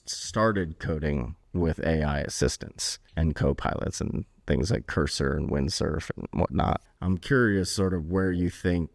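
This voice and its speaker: Male interviewer for a casual podcast. Very low register, dry studio-like sound, conversational delivery. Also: no room sound.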